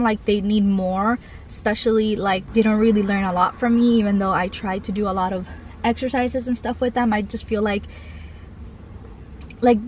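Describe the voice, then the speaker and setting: A woman's voice: mid-range voice, slight background noise. A young woman vlogging as a mom, talking casually and rambling, filling her sentences with "like" and "I feel like". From Cuban family. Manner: rambling, heavy use of "like" and "I feel like". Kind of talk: casual confessional vlog. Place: parked car, then outdoor park. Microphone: camera on dashboard or held close.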